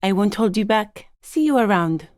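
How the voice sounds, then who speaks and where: A woman's voice: mid-range voice, lively intonation, moderate pace, a little mellow, no room sound, close to an everyday voice. Woman playing a dry, guarded gardener, close and studio-dry. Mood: guarded, polite. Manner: understated, dry, polite but curt. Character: guarded, matter-of-fact woman gardener, politely dismissive. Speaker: video game voice actor. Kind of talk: game dialogue voice lines, understated and conversational.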